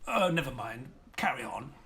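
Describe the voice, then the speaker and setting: An actor playing a good-natured, bumbling doctor sidekick who talks in a hesitant, stammering, jolly way. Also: male voice, mid-range voice, very animated, normal speed, bright, forceful tone, husky, full character voice. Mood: jovial. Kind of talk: audio drama acting. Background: faint piano music.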